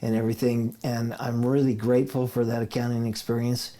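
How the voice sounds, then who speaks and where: A man's voice: medium pitch, level tone, brisk, mellow. A middle-aged man from Idaho, a guest in a casual interview, telling rambling stories and chuckling often. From Idaho, United States. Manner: rambling storyteller, chuckles often, restarts sentences.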